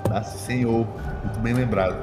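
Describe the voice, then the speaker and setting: A Brazilian man improvising tabletop game narration as game master, halting and often repeating his phrases. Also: medium pitch, steady tone. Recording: long hall reverb, music behind.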